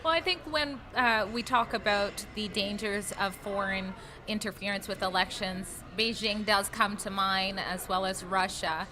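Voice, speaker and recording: Woman, interviewer, measured, probing, mid pitch, noisy ambience. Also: steady tone. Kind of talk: news interview.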